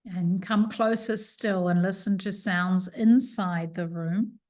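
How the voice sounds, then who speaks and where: A woman teaching yoga nidra, leading a guided relaxation with soothing, unhurried instructions and pauses. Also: on the low side, fairly even intonation, darkish tone.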